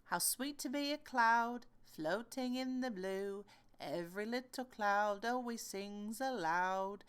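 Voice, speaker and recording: A woman voicing an earnest, naive male toy bear who schemes in a deliberate, exclamatory way for a storybook. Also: fairly high pitch, clear, resonant tone. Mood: singsong.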